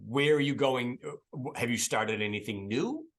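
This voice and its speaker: Boston guy hosting a podcast, chatty, lots of laughing and quick questions. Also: medium pitch. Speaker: podcast host. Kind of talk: casual interview chat.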